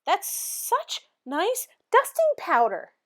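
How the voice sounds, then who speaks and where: A woman's voice: very high voice, wildly swooping pitch, takes it slowly, a bit bright, voice pushed up, exaggerated voice. A woman voicing a cheerful, naive, earnest housemaid in a storybook, with a folksy, exclaiming manner. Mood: pleased, eager.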